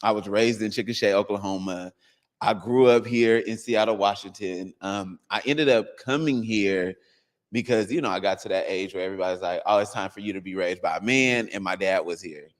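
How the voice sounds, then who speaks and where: A man in his thirties, a dance coach, talks as a guest in an animated, storytelling way. His voice runs low, and a long hall-like reverb trails after it. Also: fairly quick. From United States. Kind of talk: casual interview.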